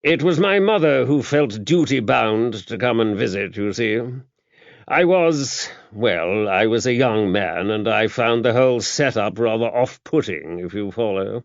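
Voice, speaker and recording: A man's voice: on the low side, lively intonation, measured pace, no room sound, muffled recording. Pompous upper-class English lord, acted by a man. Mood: breezy, rueful. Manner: pompous, drawling old-fashioned turns of phrase. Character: pompous, self-important older English lord, clipped and aggrieved.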